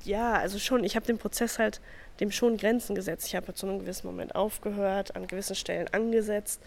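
A woman's voice: mid-range voice, fairly even intonation, fairly quick, creaky. A young woman artist answering interview questions in a casual, thoughtful way, sometimes trailing off. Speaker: artist, art school graduate. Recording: faint background noise.

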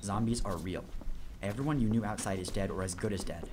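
A man's voice: lowish voice, a little fast, noisy background, natural voice. A man acting in an audio drama as an earnest, nerdy teenage zombie enthusiast with a wordy, grandiose way of talking.

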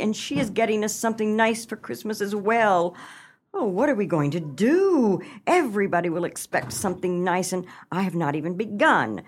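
A woman playing a melodramatic, flustered small-town wife, swooping expressively. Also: medium pitch. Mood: despairing, anxious. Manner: theatrical, exclamatory, dramatic sighing. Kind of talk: comic radio play acting.

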